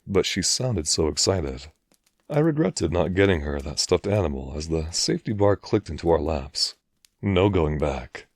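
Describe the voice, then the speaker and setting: A man's voice: very deep voice, no room sound, studio quality. A man narrating a scripted first-person horror story.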